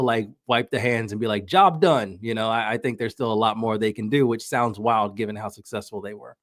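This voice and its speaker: Guy on a soccer podcast giving his takes, thinking out loud, normal pitch, really dry sound. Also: no echo. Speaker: podcast guest, women's soccer commentator. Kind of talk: casual sports analysis in conversation.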